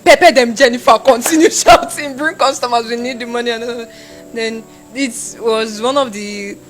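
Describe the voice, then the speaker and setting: A young Nigerian woman, a university student, talking casually in an interview and acting out shouted quotes. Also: fairly high pitch, moderate pace, faint music behind. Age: young adult. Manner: animated, acts out shouted quotes.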